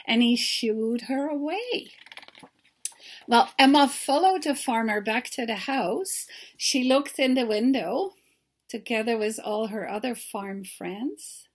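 A woman in her sixties telling a story to children as its author, pausing to put questions to them. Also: a little high. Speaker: children's author reading aloud. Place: book-lined home study.

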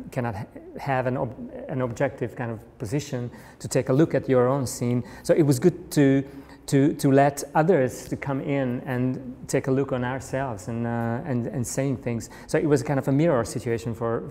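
A man in his thirties, an art critic speaking on a panel, talking hesitantly with many restarts in a bright curtained room. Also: mid-range voice, normal speed, few pauses, big-room sound. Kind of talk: panel discussion.

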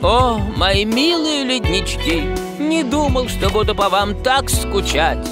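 A pompous cartoon mountain who fancies himself a poet. He declaims slowly with big swoops of pitch, dramatic and doting, over loud music. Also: expressive. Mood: grandiose. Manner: theatrical, declamatory. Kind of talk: children's cartoon voice acting. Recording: music behind the voice.

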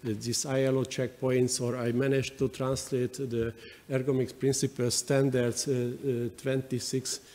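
Halting Hungarian man at a lectern. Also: medium pitch, level tone, darkish tone. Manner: halting, many fillers and repeated words. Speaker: panel speaker. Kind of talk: conference panel answer. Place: large wood-panelled conference hall. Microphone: lectern microphone. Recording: long hall reverb.

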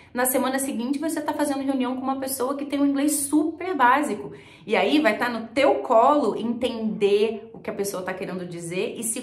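A woman's voice: a little high, echoey room. A young woman from Rio de Janeiro with a Carioca accent, teaching an online lesson straight to camera and pressing her points with rhetorical questions. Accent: Carioca Brazilian Portuguese. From Rio de Janeiro, Brazil. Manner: emphatic, talks straight to the viewer with rhetorical questions. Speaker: English teacher. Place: small indoor room with plants and shelves.

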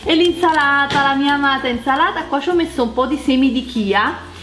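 A woman's voice: high-pitched, a little fast, fairly bright tone. A woman in her thirties hosting a casual cooking vlog in her home kitchen, chatty and enthusiastic, repeating words for emphasis. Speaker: cooking channel host. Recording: echoey kitchen with music behind.

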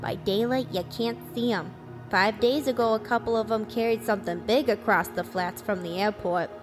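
A woman's voice: medium pitch, moderate pace, over music, natural voice. A woman playing a cynical, defiant survivor of an alien invasion in a radio play, with blunt, folksy, clipped phrasing. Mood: grim, resigned. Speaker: actor in an amateur radio drama.

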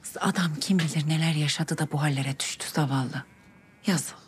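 A bossy, brash village sister. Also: female voice, low voice, normal speed, husky. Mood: persuasive. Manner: bossy, scolding, chatty. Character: brash, scheming village woman, older sister. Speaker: older sister. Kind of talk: TV drama acting. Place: indoor village house room. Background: music.

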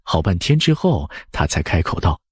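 低沉沙哑的男声说书，起伏生动. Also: male voice, deep voice, big pitch swings, moderate pace, breathy edge, no echo, clean studio sound. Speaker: audiobook narrator. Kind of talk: expressive scripted story narration.

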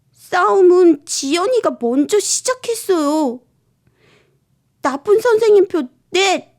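A woman voicing an indignant third-grade schoolboy who tells his story in an earnest, plaintive, confiding way, as in an expressive children's storybook reading. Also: extremely high pitch, creaky, voice pushed up, exaggerated voice. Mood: accusing.

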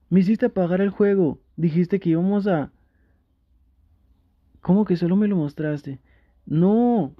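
A man with a Mexican accent playing a flustered, easily tempted gamer boyfriend in an intimate ASMR roleplay, talking in a halting, coaxing way. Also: high-pitched, no echo, dull audio. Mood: dismayed, pleading.

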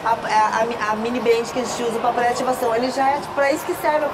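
A woman in her thirties from Brazil, a personal trainer coaching a gym workout, counting reps and giving quick encouragement. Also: fairly high pitch, little pitch movement, background noise. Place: large indoor gym. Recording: loud background music.

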